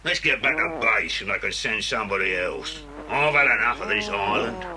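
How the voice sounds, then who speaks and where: A burly British henchman played by a man grumbles in lively, rising and falling tones. He is rough and colloquial, in a children's adventure drama on very noisy old tape. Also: on the low side, moderate pace, close to an everyday voice. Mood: irritated. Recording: noisy old cassette recording.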